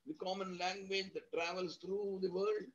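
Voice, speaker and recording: High-pitched, husky Indian man lecturing. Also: flat delivery, moderate pace. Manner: didactic, emphatic, repeats with synonyms and lists. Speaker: university lecturer. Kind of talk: academic lecture, explanatory with examples. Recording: dull lo-fi audio.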